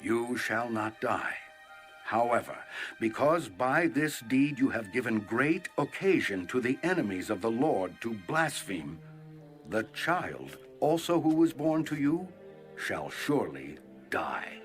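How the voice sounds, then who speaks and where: An actor playing a solemn, stern prophet pronouncing judgment in a measured, dramatized scripture reading. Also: male voice, mid-range voice, very animated, faint music behind. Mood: grave pronouncement. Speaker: audio Bible voice actor.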